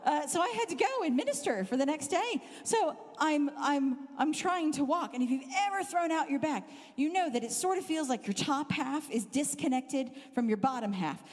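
A middle-aged woman with a Southern Californian accent softened by years in the UK, preaching as a guest speaker and telling a funny story about herself. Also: high-pitched, moderate pace, hardly any pauses. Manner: animated, self-deprecating, laughs often. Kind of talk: humorous storytelling sermon. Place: church hall. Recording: hall reverb from a live church talk.

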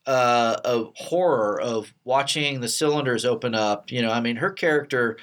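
A man's voice: mid-range voice, moderate pace, a bit bright. Middle-aged American man chatting casually. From Texas, United States. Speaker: podcast host and radio play producer. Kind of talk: casual behind-the-scenes chat.

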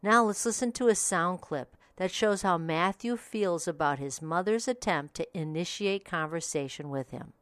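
A female podcast host reads a scripted audiobook review with polished, even announcer delivery. Her voice is on the lower side for a woman. The sound is close and dry, with no room around it. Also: normal speed, few pauses, clear, resonant tone, no room sound.

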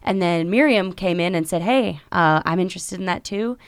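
Smooth-voiced young woman, brisk talker. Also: lowish voice, dry recording, clean studio sound. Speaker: podcast co-host. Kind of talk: casual podcast chat. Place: recording studio.

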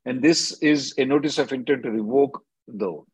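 A man with a husky voice, heard close and dry. He advises callers briskly and emphatically, repeating short phrases to drive a point home as he runs the call. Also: medium pitch, no room sound. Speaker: immigration lawyer hosting a call-in.

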